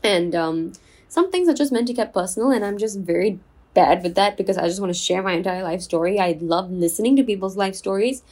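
A woman's voice: mid-range voice, fairly quick, a little mellow. Chatty young woman hosting a podcast. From Singapore. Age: 20s. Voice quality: soothing. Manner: chatty, oversharing, enunciates clearly. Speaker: podcast host. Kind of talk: casual solo podcast chat.

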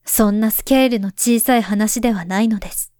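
A woman voicing a grown woman who writes to her past self, speaking softly and gravely, often near a whisper. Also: medium pitch, a little fast, no room sound, clean studio sound, natural voice. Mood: earnest. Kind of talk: voice drama letter monologue.

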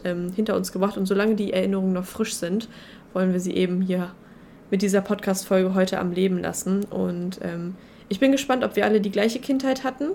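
A woman's voice: medium pitch, darkish tone, a little hiss or hum. A young woman co-hosting a podcast in casual chat, with a joking, ironic manner. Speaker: podcast co-host.

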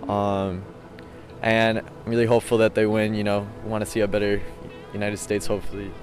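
A man's voice: lowish voice, monotone, fairly quick, faint music behind. An eighteen-year-old man, a student, answering interview questions casually. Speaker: student voter being interviewed.

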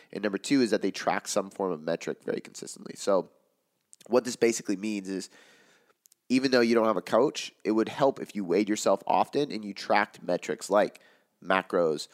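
A man with a raspy, worn-out voice, hosting a casual podcast Q&A as a fitness coach and explaining in a loose way, with lots of "like" and "um". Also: deep voice. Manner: loose, filler-heavy explaining with frequent "like" and "um". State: hoarse from talking all day.

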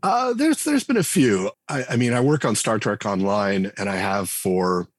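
Low husky man, rambling guest. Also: expressive, moderate pace, no echo, clean studio sound. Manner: rambling, many restarts and fillers. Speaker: game writer and designer guest. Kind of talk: conversational podcast interview.

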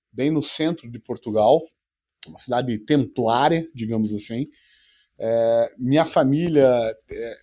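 A man in his forties, a startup founder and podcast guest, telling his life story in a relaxed way with self-deprecating jokes. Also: medium pitch. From Brazil. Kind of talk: podcast interview.

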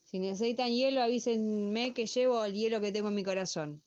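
A cold, scornful middle-aged Argentine woman played by an actress in a radio comedy. Her voice is smooth and dryly disdainful, on a slightly dull recording. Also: medium pitch, a little fast, a little mellow, lightly acted. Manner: dry, disdainful. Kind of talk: comic radio play voice message.

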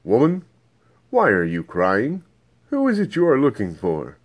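A man voicing a calm, gentle, authoritative male teacher in a dramatised Bible reading. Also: medium pitch, unhurried, pitched above the performer's own voice.

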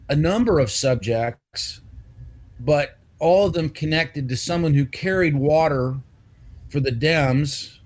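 A man hosting a news commentary segment as publisher, talking casually with rambling restarts. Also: mid-range voice.

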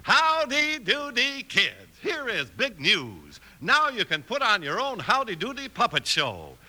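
A man's voice: very high-pitched, wildly swooping pitch, measured pace, bright, forceful tone. A male 1950s radio announcer with an emphatic, showy delivery for commercials and dramatic narration.

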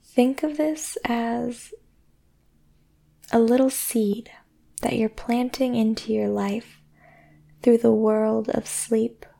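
A woman guiding a sleep meditation in a soothing, gentle way, speaking deliberately with long pauses. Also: a little high, steady tone, vocal fry. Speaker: meditation guide and podcast host. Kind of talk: guided sleep meditation, yoga nidra.

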